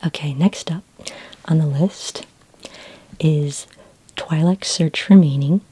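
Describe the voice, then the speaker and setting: A woman speaking in a soft, breathy near-whisper, gently and unhurriedly playing a friendly, helpful librarian in an ASMR roleplay. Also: low voice, slow pace, a little hiss or hum, low-quality recording.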